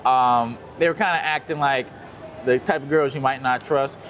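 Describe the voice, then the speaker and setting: A man's voice: mid-range voice, moderate pace, soft background music. A man casually telling a story, rambling with lots of fillers, outdoors in a city. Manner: rambling, many fillers, relaxed. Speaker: guest.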